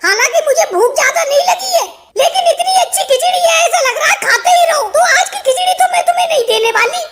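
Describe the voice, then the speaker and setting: A performer voicing an insistent, shrill cartoon girl, a little sister guarding what is hers. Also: expressive, quick pace, a bit bright, slight background noise. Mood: gleeful, refusing. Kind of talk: comic cartoon dialogue.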